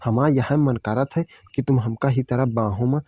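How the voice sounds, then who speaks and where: A man's voice: mid-range voice, moderate pace, mellow, slightly rough, no echo, dull audio, close to an everyday voice. A man voicing a smitten, sweet-talking village lover in a cartoon comedy, with an Awadhi accent and a cooing manner. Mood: romantic, dreamy. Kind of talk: cartoon comedy dialogue in rural dialect.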